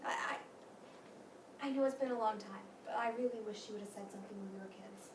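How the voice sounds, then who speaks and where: An actress playing an earnest, peacemaking childhood friend, now grown, in a staged reading of a play. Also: female voice, mid-range voice, quick pace, close to an everyday voice. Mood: wistful. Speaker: stage actor. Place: meeting room used for a staged reading. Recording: roomy, distant mic, faint noise.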